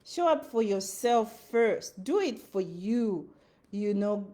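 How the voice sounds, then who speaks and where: A woman's voice: mid-range voice, lively intonation, slow pace, mellow. A woman with a Zimbabwean accent giving an earnest motivational talk, repeating her phrases for emphasis. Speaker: motivational speaker. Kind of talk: motivational monologue.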